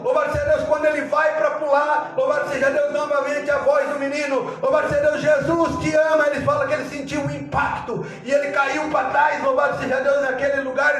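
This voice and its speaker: A man's voice: very high voice, a bit bright, very echoey, recorded from a distance. A man with a Brazilian accent preaching in a fervent Pentecostal style, telling stories with frequent interjections of praise. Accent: Brazilian Portuguese. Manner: fervent, repetitive praise interjections, acts out dialogue. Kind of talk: Pentecostal sermon with storytelling.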